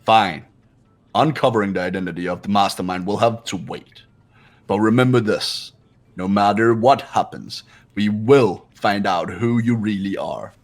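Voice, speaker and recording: A man playing a proud, analytical high school student in a fan-dubbed video game, speaking in a haughty, deliberate way. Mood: cold, challenging. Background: game music.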